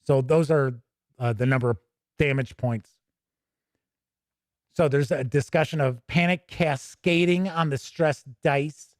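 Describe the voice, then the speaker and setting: A man's voice: a little high, steady tone, a little slow, pauses often, no echo, clean studio sound. A man hosting a tabletop gaming show, giving casual, chatty, rambling commentary off the cuff. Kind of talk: casual unscripted commentary while leafing through a book.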